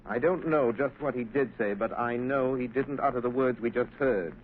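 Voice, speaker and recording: An actor playing a calm, assured gentleman detective in a 1930s radio drama, heard through an old tinny radio broadcast with faint hiss. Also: mid-range voice, moderate pace, close to an everyday voice. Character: calm, cool-headed male gentleman detective.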